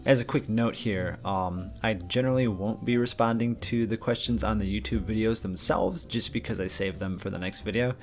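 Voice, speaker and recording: Man, mid-twenties, casual gaming host, rambling, swears, lowish voice, soft music behind. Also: normal speed, few pauses. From Minnesota, USA. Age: mid-20s. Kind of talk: casual viewer Q&A and game news.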